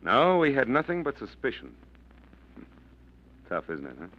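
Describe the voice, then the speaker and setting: An actor playing a blunt, hard-bitten FBI agent with clipped, commanding lines, in 1940s radio acting style. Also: male voice, mid-range voice, huge pitch swings, a little slow. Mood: cold. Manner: clipped, commanding, tough-guy talk. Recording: dull lo-fi old radio broadcast.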